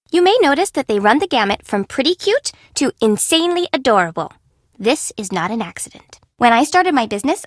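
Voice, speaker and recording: A woman's voice: fairly high pitch, dry recording, clean studio sound. A woman playing a perky, sassy young Japanese bento shop owner in a video game, with an informal, teasing, sarcastic manner. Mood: breezy, friendly. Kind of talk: video game character voice acting.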